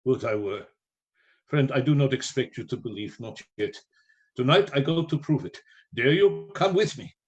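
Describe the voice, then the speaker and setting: An actor playing a learned, mysterious professor in a radio play, speaking formally and deliberately with rhetorical questions. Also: male voice, medium pitch, lively intonation, dull audio, natural voice. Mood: grave, resolute. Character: grave male professor, learned, mysterious, solemnly persuasive.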